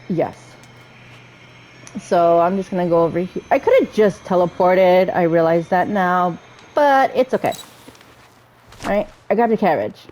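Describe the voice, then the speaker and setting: A woman giving casual, chatty gameplay commentary, excitable and often interrupting herself. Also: lowish voice, a little hiss or hum, low-quality recording. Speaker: video game streamer playing through a story quest.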